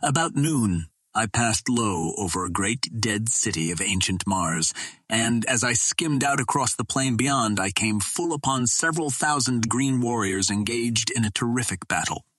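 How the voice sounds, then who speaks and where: A man's voice: on the low side, bright, forceful tone, husky, dry recording. A man reading a first-person adventure story as scripted narration. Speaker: audiobook narrator.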